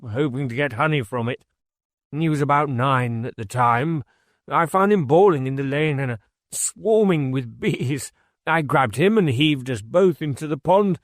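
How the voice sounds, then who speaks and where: Man voicing an animated, higher-pitched reminiscing man. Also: no room sound, studio quality, natural voice. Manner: animated, storytelling. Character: grown man fondly reminiscing about farm boyhood.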